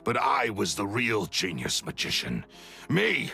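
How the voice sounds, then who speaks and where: A menacing video game villain, low and sharp-edged. He sneers theatrically with bitter resentment, his pitch swinging as he threatens, over faint music. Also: wildly swooping pitch, measured pace, vocal fry, full character voice. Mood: bitter, indignant. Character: bitter, menacing male villain, resentful magician turned murderer. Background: background music.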